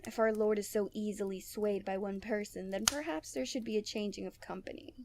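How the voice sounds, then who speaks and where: A woman's voice: mid-range voice, brisk, a little mellow, slight background noise, lightly acted. A woman playing a proud, outspoken male general in a fantasy audio roleplay, speaking formally with indignant challenge.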